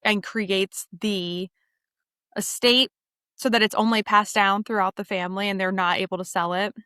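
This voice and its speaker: A woman's voice: mid-range voice, steady tone, normal speed, sharp tone, clean tone, no echo, studio quality. A woman co-hosting a podcast, talking through a book in a casual, conversational way. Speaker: podcast co-host. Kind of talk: casual book discussion chat.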